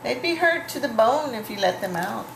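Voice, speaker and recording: A woman's voice: fairly high pitch, lively intonation, moderate pace, far from the mic, pitched above the performer's own voice. A woman voicing a teasing, dramatic male cat from a children's storybook. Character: male family cat, teasing, ominous schemer. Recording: roomy, echoey home recording with clear noise.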